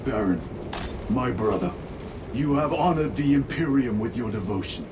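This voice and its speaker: A man's voice: medium pitch, animated, normal speed, background noise, natural voice. Man playing a grim, commanding space marine leader. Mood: solemn, grieving. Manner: commanding, solemn, clipped military delivery. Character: battle-hardened male space marine squad leader, grim, devout, commanding. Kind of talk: cinematic video game voice acting.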